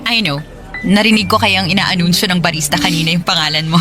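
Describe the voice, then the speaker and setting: A woman's voice: fairly low pitch, fast talker, music in the background, close to an everyday voice. Sympathetic Filipino woman, played by an actress. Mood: friendly, casual. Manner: casual, sympathetic, conversational. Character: friendly single office woman, sympathetic listener. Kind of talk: Filipino radio drama acting.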